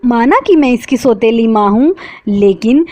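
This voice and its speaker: A woman's voice: a little high, lively intonation, measured pace, dark tone, highs cut off, voice pushed up. A woman playing a scolding scheming stepmother. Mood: self-pitying. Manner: scolding, self-pitying. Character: harsh scheming stepmother, middle-aged woman.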